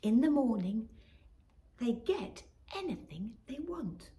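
A woman's voice: a little high, sing-song, slow, dark tone, echoey room, recorded from a distance. Magpie, a woman voicing a greedy shiny-loving magpie. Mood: scheming hush.

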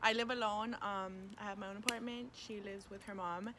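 Young woman chatting over clearly noisy ambience. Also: mid-range voice, fairly even intonation, fairly quick. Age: 20s. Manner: slangy, profane, slips into Spanish. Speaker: vlog co-host. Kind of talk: casual chat answering questions. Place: outdoors by a parking area.

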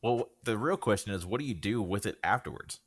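A man's voice: on the low side, lively intonation, a little fast, husky. A man in his thirties co-hosting a casual podcast, telling stories in a wry way. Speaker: podcast co-host. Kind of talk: casual chat.

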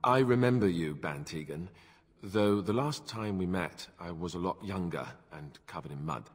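An actor playing a wry, guarded young warrior in a fantasy game, dry and understated, with a reverberant ring. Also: male voice, low-pitched, animated, normal speed, breathy edge. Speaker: game character.

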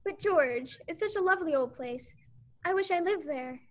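High-voiced, sweet teen playing a small-town girl. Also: lively intonation, normal speed, lo-fi sound. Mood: sweet, playful. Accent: American. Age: teens. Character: sweet, teasing eighteen-year-old small-town girl. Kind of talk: stage radio play acting.

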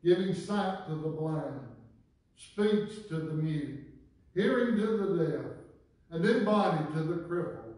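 Older man, pastor, slow deliberate preaching, echoey church from far off. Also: on the high side, darkish tone. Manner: measured preaching cadence, falling at phrase ends. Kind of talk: sermon. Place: small church sanctuary, preaching from a pulpit. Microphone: far camera. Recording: very echoey room, distant mic.

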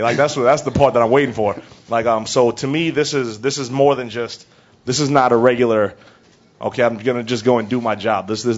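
A young man answering questions at a press conference, rambling and excited, full of restarts. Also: medium pitch. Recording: dull lo-fi press audio with faint noise.

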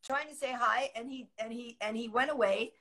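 Host, woman in her forties, bright, fairly high voice, friendly and brisk, on a video call. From Boston. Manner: friendly, brisk, steering the conversation. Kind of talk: casual chat. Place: home room.

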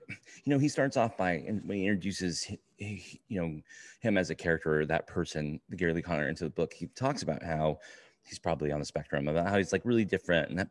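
A man in his forties co-hosting a casual review podcast, talking in a measured, agreeable, meandering way. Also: sits low, no room sound. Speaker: podcast co-host. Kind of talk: casual book review chat.

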